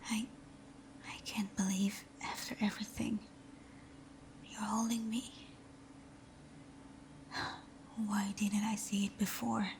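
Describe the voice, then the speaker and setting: A woman playing a timid, tender young villainess in a whispered ASMR roleplay, speaking softly and haltingly in an intimate way. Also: mid-range voice, little pitch movement, slight background noise. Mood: hesitant, vulnerable. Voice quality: soft, breathy whisper. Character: timid, tender female fox supervillain confessing to best friend.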